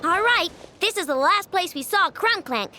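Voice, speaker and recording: A woman voicing a plucky, bossy, cheerful small child with a brash, eager manner in an animated game. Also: very high-pitched, normal speed, a little hiss or hum, heavily acted. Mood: earnest.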